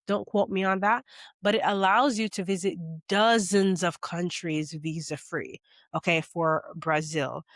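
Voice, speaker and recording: A woman's voice: on the low side, no room sound, studio quality. A woman from Jamaica giving a casual, chatty explainer as a vlogger, often checking in with "okay?" and "you know". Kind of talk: casual talking-head explainer.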